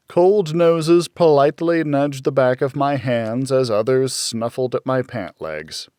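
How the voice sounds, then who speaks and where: A man reading a hardboiled first-person thriller audiobook in a measured, deliberate storytelling manner, close to the microphone. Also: medium pitch, a little mellow, no room sound. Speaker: audiobook narrator.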